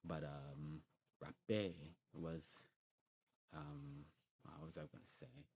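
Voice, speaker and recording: A man's voice: rumbling low, flat delivery, slow pace, darkish tone, full character voice. A man playing a demon snuff shopkeeper in an ASMR roleplay, explaining patiently in a hesitant, rambling way. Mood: musing, rambling. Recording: clearly noisy background.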